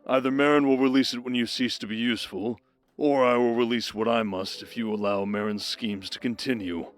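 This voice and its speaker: A man's voice: medium pitch, steady tone, a little fast, faint music behind, close to an everyday voice. A man as a commanding, sardonic baron. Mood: cold, commanding. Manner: wry, authoritative, formal. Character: commanding, sardonic baron, coldly menacing schemer husband. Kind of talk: scripted audio roleplay drama.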